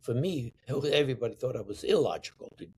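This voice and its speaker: An older man from Sicily, telling stories from his life slowly and with emphasis. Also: medium pitch, animated, darkish tone, slight background noise. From Sicily, Italy. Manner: slow, deliberate, emphatic storytelling. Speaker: interview guest, artist. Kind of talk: reflective storytelling.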